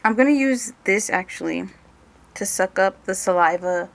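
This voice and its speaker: A woman's voice: mid-range voice, normal speed, creaky. A woman doing a one-on-one ASMR role-play as an examiner, hesitant and muttering to herself, drifting between normal voice and whispery murmur.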